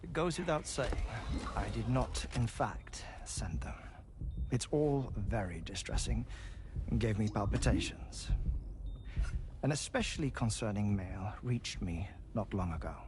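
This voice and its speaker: An actor playing a fussy middle-aged scientist in a video game, erudite and gently theatrical, with formal, deliberate, wordy diction. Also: male voice, fairly low pitch, lively intonation, background noise. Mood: fretful, then earnest explaining. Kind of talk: scripted video game cutscene exposition. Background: music.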